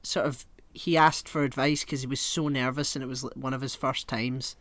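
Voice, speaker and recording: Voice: medium pitch, moderate pace, dry recording. A podcast co-host chatting casually and swearing freely while telling rambling comic stories. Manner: rambling, animated storyteller.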